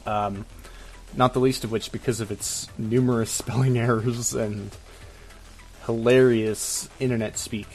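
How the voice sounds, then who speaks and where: A man's voice: mid-range voice, steady tone, a little slow, darkish tone, faint music behind, rough recording. A man co-hosting a casual comedic advice podcast, wry and hedging, given to joking asides. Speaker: podcast co-host.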